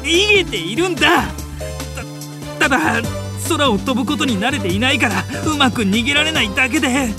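An irritable, serious young man in an anime-style audio drama, the stern older brother who flusters easily. His voice is high for a man and swings widely as he scolds, with music underneath. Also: expressive. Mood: flustered. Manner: stern, stiff speech, quick to scold.

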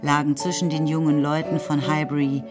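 A woman's voice: deep voice, level tone, brisk. A woman narrating a radio drama in a scripted literary style. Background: music.

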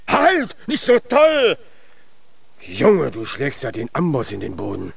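Blustery, animated dwarf blacksmith. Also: male voice, medium pitch, slow pace, music in the background, exaggerated voice. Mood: hearty, brisk. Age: middle-aged. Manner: gruff, hearty, domineering. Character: blustery, ambitious dwarf blacksmith, father of a grown daughter. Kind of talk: children's radio play acting.

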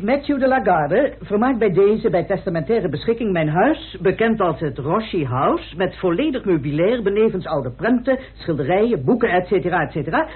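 Officious investigator, high voice, swooping intonation, declamatory, old radio drama. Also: male voice, breathy edge, heavily acted. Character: eager, officious investigator reading out documents. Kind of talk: old radio play acting. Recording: scratchy lo-fi old radio recording.

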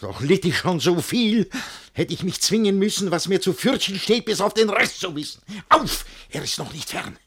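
An actor playing a jealous, pompous, panicky middle-aged master in a theatrical verse comedy for radio, raspy and breathless, swinging between muttering and bellowing. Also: male voice, high-pitched, big pitch swings, moderate pace, a bit bright, full character voice. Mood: indignant.

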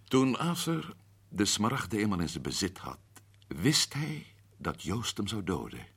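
A man playing a shrewd man explaining the solution of a mystery in a radio play, husky and measured. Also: on the low side, very animated, a bit bright, full character voice. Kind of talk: radio drama acting.

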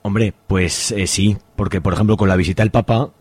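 A man's voice: fairly low pitch, fairly even intonation, brisk, darkish tone, breathy edge, no room sound. A man from Spain acting a slangy, teasing young left-winger arguing in a radio drama phone call. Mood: argumentative. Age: young adult. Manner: slangy, argumentative, needling. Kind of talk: colloquial radio drama dialogue.

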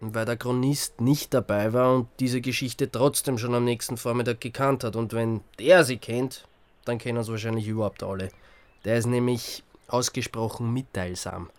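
A man's voice: mid-range voice, fairly even intonation, moderate pace, no echo, close to an everyday voice. An actor with an Austrian accent playing a sardonic, aggrieved, colloquially exaggerating man in a radio drama. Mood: dry, uneasy. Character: sardonic, aggrieved man, prone to lurid storytelling.

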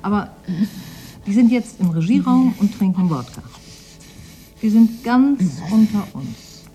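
An actress playing a caring, cautious doctor who is protective and soothing, in a German radio drama. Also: female voice, medium pitch, big pitch swings, unhurried, warm tone, faint music behind. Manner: soothing, concerned.

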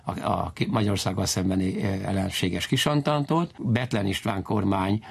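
A man giving an unscripted historical explanation as an interviewed historian, halting and digressive, often correcting himself. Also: sits low, creaky, slightly rough.

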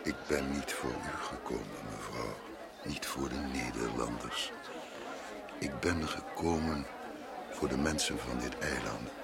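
An actor playing a calm, self-assured wandering prophet in a radio drama, gravelly, measured and courteous with gentle irony. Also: male voice, rumbling low, heavily acted. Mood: calm, firm. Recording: noisy radio play amid loud crowd.

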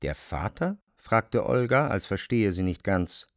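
A man's voice: fairly low pitch, sing-song, unhurried. A man narrating a German radio play and giving the characters' lines as he goes.

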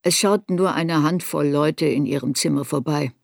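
An actress playing a reflective woman writer in a radio play, recalling her girlhood in a sober, deliberate, introspective way. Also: low-pitched, creaky, a little mellow, no room sound, clean studio sound. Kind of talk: literary radio play narration.

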